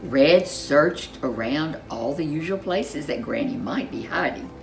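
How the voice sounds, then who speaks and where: A woman storyteller reading a children's storybook in an expressive, animated way, with dramatic emphasis. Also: deep voice, unhurried, faint music behind.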